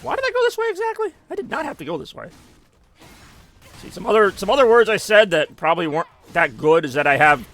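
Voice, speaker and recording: A man's voice: on the high side, sharp tone. A man giving rambling, self-mocking live gameplay commentary as a streamer, now and then dropping in German phrases. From United States. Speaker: gaming streamer.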